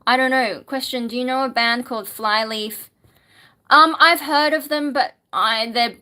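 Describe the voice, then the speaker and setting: A woman's voice: fairly high pitch, sharp tone. A woman, a singer, chatting casually on a livestream, reading viewer questions aloud and musing with frequent ums. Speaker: singer and streamer answering chat.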